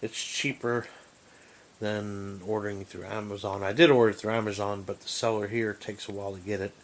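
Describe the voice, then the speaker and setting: A man's voice: fairly low pitch, level tone, moderate pace, low-quality recording. A man giving a casual, unscripted gadget review in a rambling, hesitant way, often searching for words. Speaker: amateur radio hobbyist reviewing gear. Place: small bedroom indoors.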